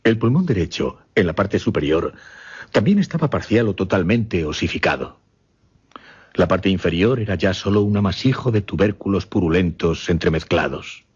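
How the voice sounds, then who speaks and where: Un actor de radioteatro que interpreta a un solemne caballero hipnotizador que relata su experimento macabro, formal y con pausas dramáticas. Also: male voice, sits low, lively intonation, darkish tone, husky, full character voice. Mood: grave, formal. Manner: deliberate, formal, dramatic pauses. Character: grave gentleman hypnotist recounting his macabre experiment. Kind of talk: radio drama acting, dialogue narration. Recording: slightly muffled, lo-fi audio.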